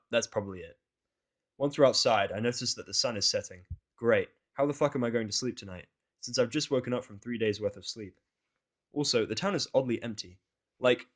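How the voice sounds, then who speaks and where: A man narrating a scripted first-person fan fiction story in a casual, grumbling, sardonic way. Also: medium pitch.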